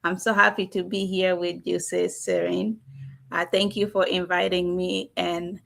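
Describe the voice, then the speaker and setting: Gravelly-voiced woman from South Sudan. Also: lowish voice, level tone, a little slow, fairly bright tone. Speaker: guest. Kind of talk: testimonial interview.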